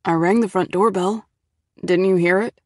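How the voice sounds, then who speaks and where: A woman's voice: lowish voice, steady tone, moderate pace, no room sound, clean studio sound, close to an everyday voice. A woman voicing a casual, teasing teenage boyfriend in an audiobook story. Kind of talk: audiobook character dialogue.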